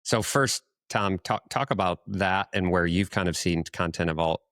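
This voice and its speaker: A man's voice: deep voice, normal speed, fairly bright tone, dry recording, clean studio sound. A man co-hosting a podcast, asking long, conversational questions in a casual, rambling way. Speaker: podcast co-host.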